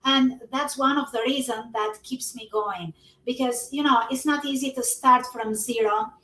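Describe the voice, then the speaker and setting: Brief: woman in her 40s, high-pitched, earnest and halting, echoey and off-mic. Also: bright, forceful tone. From Albania. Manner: earnest, non-native English with frequent fillers. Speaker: guest. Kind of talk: casual conversation. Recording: echoey room, somewhat far from the mic.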